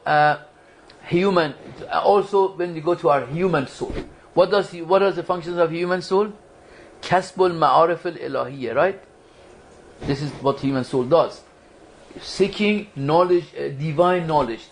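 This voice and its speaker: Man lecturing casually, higher voice. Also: a little slow, a little hiss or hum, rough recording. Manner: conversational, quizzes the audience, frequent restarts. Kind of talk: religious study lecture to a small group. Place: lecture room at a community foundation.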